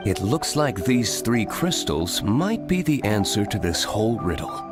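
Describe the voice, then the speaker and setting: A man voicing an aloof, serious young nobleman in an anime-style video game, terse, formal and deadpan. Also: lowish voice, unhurried, over loud music. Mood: analytical.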